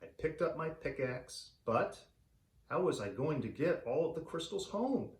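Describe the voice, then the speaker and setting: A man reading a story aloud for children in an animated, theatrical way. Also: fairly high pitch, unhurried, reverberant. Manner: animated, theatrical delivery for young listeners. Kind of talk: expressive read-aloud storytelling.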